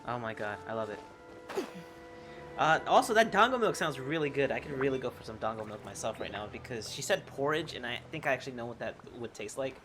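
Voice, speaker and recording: A game streamer giving casual, excitable and playful commentary on a playthrough. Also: mid-range voice, fast talker, music in the background.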